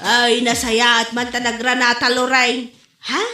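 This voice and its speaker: Woman acting brash judgy gossip lady, ilocano accent, high sharp voice, echoey room, comedy drama. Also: completely flat delivery, measured pace, soft background music. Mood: gossipy, shocked. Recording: roomy, echoing room sound.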